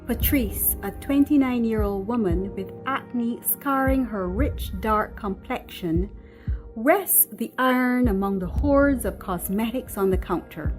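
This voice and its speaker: A woman's voice: fairly high pitch, measured pace, faint music behind, rough recording. A woman reading a screenplay aloud as narrator, delivering scene headings and action in scripted narration.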